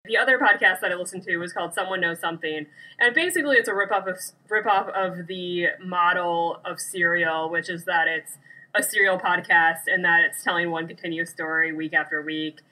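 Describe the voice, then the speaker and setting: A woman's voice: fairly low pitch, brisk, pushed and bright, rough recording. A woman co-hosting a podcast in casual chat, with a blunt, informal way of talking. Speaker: podcast co-host. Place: small room.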